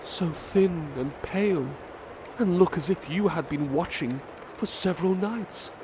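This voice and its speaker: A man voicing an excited, effusive young man greeting an old friend, set over loud street ambience. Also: sits high, a little slow, noisy background, pitched above the performer's own voice. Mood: glad, eager. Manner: bright, excited, effusive delivery.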